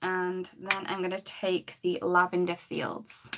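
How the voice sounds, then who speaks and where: A woman, her voice a bit croaky, demonstrating coloring with markers in a chatty live-stream lesson. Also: on the low side, flat delivery, slow pace, background noise. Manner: chatty, talks while working, greets viewers. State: slightly croaky voice.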